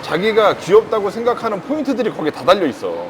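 A man's voice: high-pitched, very animated, quick pace. A man talking casually as a vlog host, with a playful, teasing manner. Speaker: vlog host, husband in a couple channel. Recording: busy noisy urban public places.

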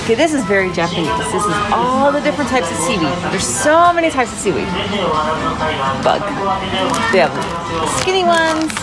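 A woman's voice: high voice, sing-song, moderate pace, long pauses, loud music behind the voice. A woman in her thirties chatting casually while filming herself walking through a small grocery store, pointing out items with enthusiasm. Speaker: vlog host. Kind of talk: casual walkthrough narration. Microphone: handheld camera at arm's length.

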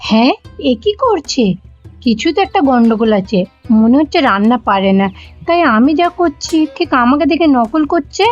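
Sly high-voiced Bengali daughter-in-law, acted by a woman. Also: very animated, normal speed. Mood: suspicious, sly. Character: sly, scheming young elder daughter-in-law. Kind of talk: cartoon comedy voice acting. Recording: dull, lo-fi audio with music behind.

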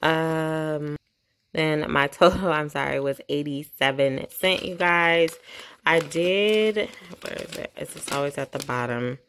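Brief: chatty couponing vlogger woman, low gravelly voice, phone-recorded at home. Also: slow pace, fairly bright tone, a little hiss or hum. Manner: chatty, rattles off prices and totals. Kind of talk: casual shopping haul walkthrough.

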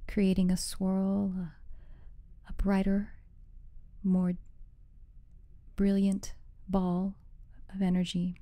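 A woman leading a guided meditation as the guide, giving soothing, measured instructions with phrases that soften into breathiness. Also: mid-range voice, no echo.

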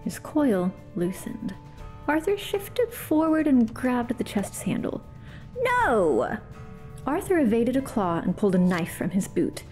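Mellow-voiced woman reading a story. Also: on the high side, sing-song, unhurried, faint music behind. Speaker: podcast host and reader.

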